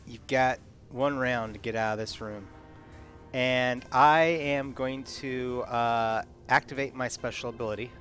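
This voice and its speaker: A man's voice: medium pitch, fairly even intonation, measured pace, a bit bright. A man playing in a tabletop roleplaying game, talking through his moves in casual chat. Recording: music behind the voice. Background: background music.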